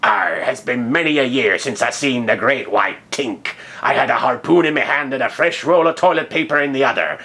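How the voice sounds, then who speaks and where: A man plays a salty old sea dog in a comic story. He growls along in a theatrical pirate drawl, gruff and weathered. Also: medium pitch, animated, fairly quick, hardly any pauses, sharp tone. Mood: salty, ominous. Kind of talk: comedic fan fiction reading.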